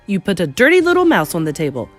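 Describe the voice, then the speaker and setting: A woman's voice: lowish voice, huge pitch swings, fast talker, faint music behind. A woman voicing a pompous, outraged middle-aged English father in a storybook, spluttering with indignation. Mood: angry suspicion.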